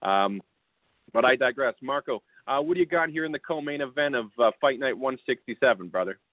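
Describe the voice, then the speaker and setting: A man's voice: medium pitch, animated, a little fast, no room sound. A male host running casual fight picks, laid-back and chuckling, with lots of fillers. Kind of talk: casual fight-pick chat. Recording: dry tinny phone-line audio.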